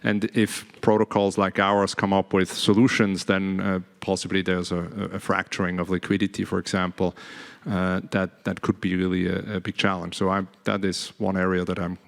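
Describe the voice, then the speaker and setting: A man with a Swiss accent speaking on a conference panel, halting, with many fillers and restarts. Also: deep voice, completely flat delivery, creaky. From Switzerland. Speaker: panelist, blockchain entity officer. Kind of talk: panel discussion. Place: conference hall stage. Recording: long reverb of a conference hall.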